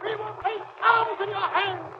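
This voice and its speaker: An actor playing a fanatical, treacherous Nazi agent, delivering strident oratory in a 1940s radio drama. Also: male voice, very high voice, fairly even intonation, moderate pace, over loud music. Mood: rabble-rousing. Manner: strident, declamatory. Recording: muffled, noisy old radio recording.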